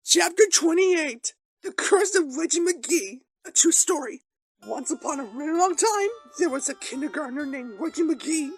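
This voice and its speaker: A woman's voice: sits high, lively intonation, moderate pace, faint music behind. A woman voicing a naive boy who reads a comic aloud in a simple, slangy, childlike way. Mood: earnest. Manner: simple, slangy, ungrammatical phrasing. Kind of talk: childlike read-aloud storytelling.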